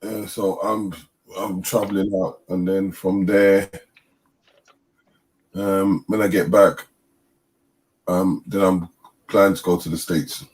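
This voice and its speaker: A man speaking quietly and hesitantly as a guest on a call, with many pauses and ums. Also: sits low, level tone, low-quality recording.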